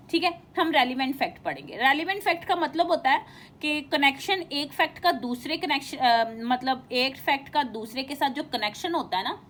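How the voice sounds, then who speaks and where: A woman teacher giving an informal lecture, explaining and reading out definitions in a halting, repetitive way with frequent check-in tags. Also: high-pitched, a bit bright, a little hiss or hum.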